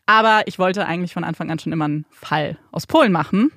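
A young woman co-hosting a podcast, narrating a crime case in a slow, suspenseful storytelling manner with rhetorical questions. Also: on the low side, fairly bright tone, no room sound, clean studio sound. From Germany, raised bilingual by Polish parents. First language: Polish. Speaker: podcast co-host telling the case. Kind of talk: dramatic true crime storytelling. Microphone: studio microphone.

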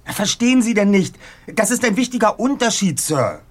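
A man's voice: sits high, sing-song, normal speed. An actor playing a clever, pompous teenage boy detective in an audio drama, speaking precisely and formally. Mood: analytical, insistent. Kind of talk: German audio drama acting.

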